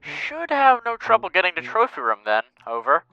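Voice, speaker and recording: A man's voice: high voice, huge pitch swings, moderate pace, sharp tone, clean tone, lo-fi sound, soft background music, heavily acted. An actor playing an earnest, paranoid boy acting as military mission control, speaking in clipped radio talk in a fan dub. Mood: brisk, eager.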